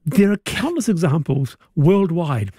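A man's voice: fairly high pitch, sing-song, measured pace, dark tone, breathy edge, no room sound, clean studio sound. A man hosting a radio show, playful and upbeat as he leads the banter and reads out listener texts. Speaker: radio host.

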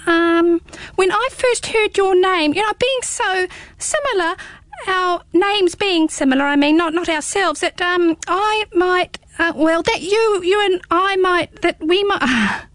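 A woman's voice: very high voice, a little slow, a bit bright, no echo, voice pushed up. A woman voicing a small, talkative hedgehog in a storybook reading, prim and rambling. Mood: flustered. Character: small chatty fussy hedgehog. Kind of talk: storybook read-aloud.

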